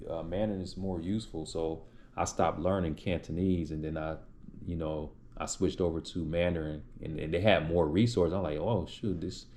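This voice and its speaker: A man's voice: deep voice, mellow, slight background noise. A man hosting a casual live stream, answering viewer chat in a loose, rambling way with many restarts. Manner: reads out and replies to chat names.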